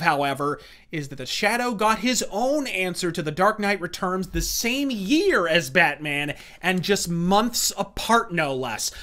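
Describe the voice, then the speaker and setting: A man's voice: high-pitched, a bit bright. A man delivering scripted, opinionated review commentary in an emphatic, wordy, theatrical and profane way. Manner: profane, brash. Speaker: host of a comics and pulp review series.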